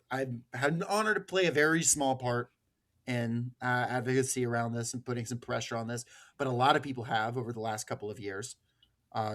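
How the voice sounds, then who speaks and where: An American man hosting a podcast in casual political discussion, emphatic and sweary, often repeating words as he goes. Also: mid-range voice. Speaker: podcast host.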